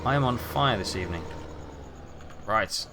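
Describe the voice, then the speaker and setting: A man's voice: lowish voice, a little slow, noisy background. A man who grew up in England chatting casually with viewers while he streams a game. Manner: relaxed, chatty, answers chat while playing.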